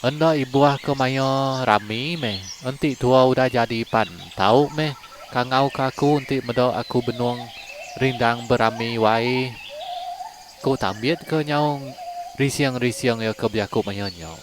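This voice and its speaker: A man's voice: medium pitch, measured pace, background noise. A man telling a long folk story in a conversational way as a channel storyteller, then asking listeners to like and subscribe. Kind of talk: told folk story in Iban.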